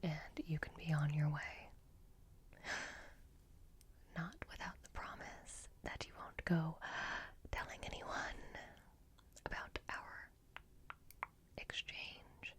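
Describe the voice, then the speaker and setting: A woman performs an ASMR roleplay in a low-pitched, breathy whisper. She plays a quietly scheming robot repair technician who speaks directly to the listener. Her delivery is very slow and deliberate, coaxing and secretive, with long pauses between short phrases. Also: level tone.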